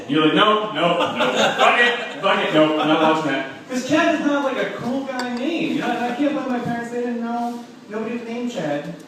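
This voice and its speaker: A young man doing a stand-up comedy set in a club, rambling and self-mocking, full of filler words and swearing. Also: high voice. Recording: echoey room recorded from a distance with noise.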